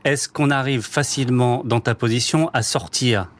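A man's voice: medium pitch, moderate pace. A man interviewing a guest on radio, asking long, winding questions.

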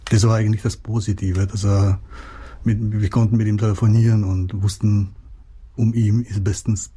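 Low, husky man with a Czech accent. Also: flat delivery, moderate pace, mellow. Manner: hesitant, many fillers and restarts. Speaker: writer, interviewee. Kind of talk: interview answers.

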